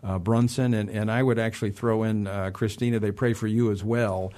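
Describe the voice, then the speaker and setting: A man hosting a radio interview, talking in a halting way with many pauses and restarts. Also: lowish voice, steady tone, warm tone. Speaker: radio host.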